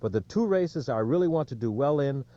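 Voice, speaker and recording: A man's voice: mid-range voice, lively intonation, a little fast, dark tone, voice pushed up, lightly acted. A man reading out the words of a weary, self-deprecating champion cyclist in a plainspoken, rueful manner for an audiobook. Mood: earnest. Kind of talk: quoted speech in audiobook narration. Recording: dull, lo-fi audio.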